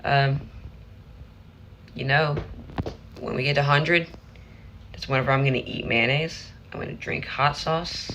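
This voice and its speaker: Hoarse young man rambling on stream. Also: mid-range voice, steady tone, a little slow, long pauses, pushed and bright, slight background noise. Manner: rambling, restarts phrases. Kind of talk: casual livestream chat.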